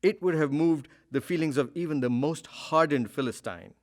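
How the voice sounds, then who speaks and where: A man acting as an eloquent storyteller in a literary audio drama, narrating in a measured, ornate way. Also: fairly high pitch, lightly acted. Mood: lyrical wonder. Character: eloquent male storyteller recalling a scenic journey with wonder. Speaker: voice actor.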